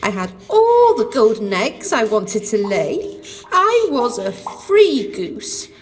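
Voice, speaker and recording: A woman's voice: a little high, big pitch swings, takes it slowly. A woman playing an aggrieved captive goose in an audio pantomime, plaintive and melodramatic. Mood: indignant. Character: aggrieved captive female goose, indignant and put-upon. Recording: long echoing dungeon reverb.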